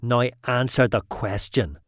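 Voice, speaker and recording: A cold, threatening gunman who speaks low and hisses, as a male narrator puts him on. The voice is dropped below the narrator's usual pitch and kept dry and close. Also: measured pace, dry recording, close to an everyday voice. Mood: menacing. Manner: low hissing menace. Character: menacing armed man, cold and threatening.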